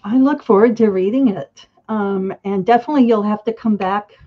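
A woman hosting an interview over a video call, speaking gently with hesitant fillers. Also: mid-range voice, a little fast, muffled recording. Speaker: interview host. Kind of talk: casual interview.

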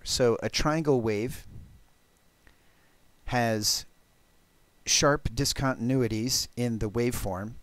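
A man giving a live technical walkthrough of code as a presenter, explaining deliberately and haltingly with pauses. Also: medium pitch, clear, resonant tone, no echo. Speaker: presenter of a programming talk.

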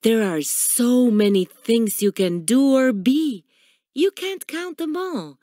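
A woman plays a loving old grandfather from a children's storybook. He talks slowly and patiently, with gentle warmth and expressive swings of pitch. The sound is dry. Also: no room sound. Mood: calm, wise. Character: loving, calm old grandfather telling children about stars.

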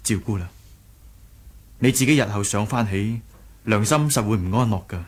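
A man playing an earnest, gentle young rebel leader in a radio drama, sincere and a little hesitant. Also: fairly low pitch, normal speed, husky. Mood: earnest, reproachful. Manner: sincere, hesitant, soft-hearted. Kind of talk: Cantonese 1980s radio drama acting.

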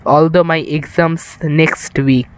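Slow-talking young man streaming a game. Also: on the high side, steady tone, clear, resonant tone. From India. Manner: rambling, chatty, addresses viewers. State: tired. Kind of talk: casual live-stream chat while gaming. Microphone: improvised setup.

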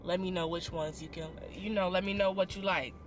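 A young woman vlogger telling a story in a parked car, expressive and dramatic, full of slang and quick asides, filmed by a camera on the dashboard. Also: fairly low pitch, slight background noise. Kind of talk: animated storytime chat.

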